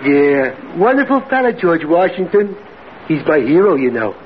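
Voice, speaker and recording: An actor playing a dim-witted, cheerfully foolish tavern regular in a 1940s radio comedy, earnest and hesitant. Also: male voice, fairly high pitch, big pitch swings, normal speed, slight background noise. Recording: tinny, narrow old radio broadcast.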